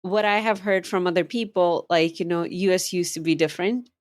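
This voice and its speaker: A woman's voice: lowish voice, a little fast, no room sound. A woman in her thirties from Pakistan, a life coach and the guest on a podcast. She talks in an open, upbeat way and laughs easily. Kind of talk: interview conversation.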